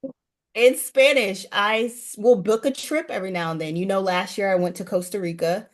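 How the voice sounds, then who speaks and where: A woman's voice: medium pitch, moderate pace. A woman guest running a language program, chatting earnestly in a casual interview.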